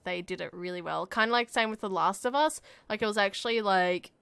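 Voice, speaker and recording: A woman's voice: mid-range voice, a little fast, vocal fry, fairly bright tone, clear, resonant tone, no echo. A woman co-hosting a casual gaming podcast, chatting and giggling often, with lots of "like" fillers. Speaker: podcast co-host. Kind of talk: casual chat.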